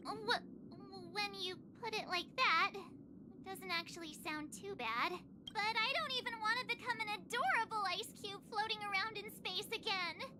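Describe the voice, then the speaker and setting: Perky young adventurer girl; very high, playful and whiny. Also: moderate pace, noisy background. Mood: worried whiny. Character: cheerful bubbly young woman adventurer. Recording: game audio with music.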